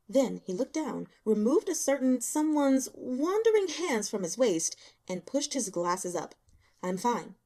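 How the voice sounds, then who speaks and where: A woman reading a story aloud as dramatic, expressive scripted narration. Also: a little high. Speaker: audiobook reader.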